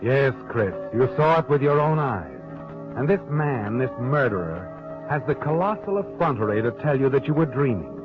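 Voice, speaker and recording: Music plays under an actor as an ominous man narrating a vintage radio mystery, in a dull old recording. His medium-pitched voice is dramatic, knowing and deliberate. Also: sing-song, natural voice. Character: ominous omniscient narrator addressing the heroine, sardonic. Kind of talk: 1940s radio suspense narration in second person.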